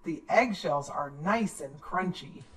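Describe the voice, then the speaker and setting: Female reader as storybook frog father: patient, gently exasperated, slow measured delivery. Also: low-pitched, expressive, recorded from a distance, background noise, voice pushed down.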